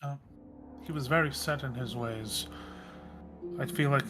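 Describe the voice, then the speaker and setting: A man chatting casually as a player in a tabletop game, halting and rephrasing as he goes. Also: mid-range voice, pushed and bright, soft background music.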